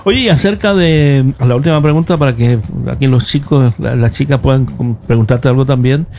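A man's voice: mid-range voice, brisk, darkish tone, a little hiss or hum. A man co-hosting an interview, asking questions and often murmuring agreement. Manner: attentive, frequent short agreements. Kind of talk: casual interview conversation.

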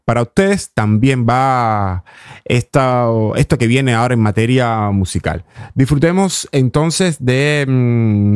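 A man with a Cuban accent presenting a reflective music radio show in an unhurried, deliberate way, pausing often. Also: medium pitch, darkish tone, clean tone, no room sound, studio quality. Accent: Cuban Spanish. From Cuba. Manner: unhurried, deliberate, with long pauses. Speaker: radio host. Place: radio studio.

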